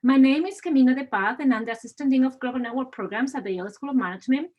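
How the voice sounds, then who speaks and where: A woman with a Spanish accent presents an admissions webinar over a video call. Her voice sits a little high for a woman. She talks in an upbeat, welcoming way and fills her pauses with frequent "uh"s. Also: a little mellow, dull audio. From Spain. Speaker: assistant dean hosting an admissions webinar.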